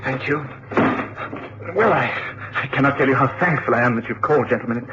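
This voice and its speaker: An actor playing a fearful English lord in an old radio drama, stammering and pleading anxiously. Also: male voice, medium pitch, fairly quick, lightly acted. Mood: flustered, eager. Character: nervous, fearful English lord, desperate for company. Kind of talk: 1960s radio drama acting. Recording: dull, noisy old radio recording.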